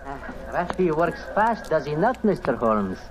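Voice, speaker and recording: Sly, swooping foreign scoundrel. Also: male voice, a little high, sing-song, unhurried. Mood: sly, playful. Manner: wheedling, theatrical, ends demands with "no?". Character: sly, greedy underworld cafe proprietor, a charming male scoundrel. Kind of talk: old-time radio drama acting. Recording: noisy old radio recording.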